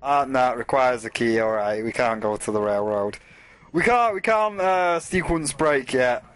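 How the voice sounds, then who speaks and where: A man's voice: mid-range voice, lively intonation, moderate pace, fairly bright tone. A man hosting a let's play, rambling casually and swearing as he talks through the game and to his chat. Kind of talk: casual gameplay commentary.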